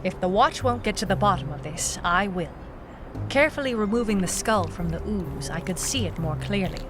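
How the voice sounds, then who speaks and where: A woman's voice: mid-range voice, expressive, normal speed, close to an everyday voice. Casting: actress, female noir gumshoe, wry, defiant, mid-range voice, narrating over a music bed. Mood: brooding narration. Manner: hardboiled, wry. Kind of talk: noir audio drama, first-person narration and dialogue. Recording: music behind the voice.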